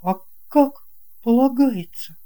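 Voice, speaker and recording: An earnest young schoolboy in a storybook, acted by a woman. He is shy and thoughtful, speaking in a raised pitch. He hesitates and muses as he goes. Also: expressive, slow, mellow. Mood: puzzled. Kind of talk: audiobook character voice.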